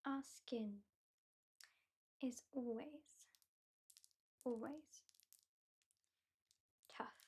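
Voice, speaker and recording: An aloof British vampire hypnotist, played by a woman in an ASMR roleplay. Her voice is mellow and whispery, a little high for a woman. She talks very slowly and deliberately, with a dry, dismissive edge. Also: exaggerated voice. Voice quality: soft, breathy, whispery. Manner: slow, deliberate, lightly dismissive.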